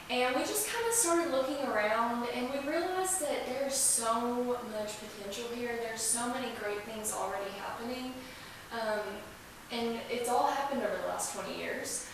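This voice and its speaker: A woman speaks as an informal, enthusiastic guest in an interview. Her voice sits on the high side, heard a bit far from the mic in a heavily reverberant room. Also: lively intonation, moderate pace. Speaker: interview guest, museum staffer. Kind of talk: conversational interview answers. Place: indoors, echoey room. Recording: echoey room, distant mic, hiss.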